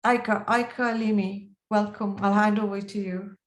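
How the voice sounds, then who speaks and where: A woman giving informal remarks as a panel speaker on a video call from an echoey room, haltingly repeating and rephrasing as she goes. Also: mid-range voice, level tone. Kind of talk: informal remarks at an online roundtable.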